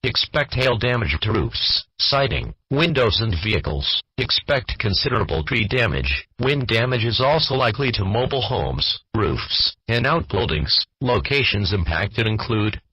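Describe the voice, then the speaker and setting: Husky synthetic American male weather announcer. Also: lowish voice, very animated, moderate pace, few pauses, pushed and bright, dry recording, highs cut off. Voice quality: synthetic text-to-speech voice. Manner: formal, stilted, segmented reading. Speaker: automated weather alert announcer. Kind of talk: scripted emergency weather bulletin.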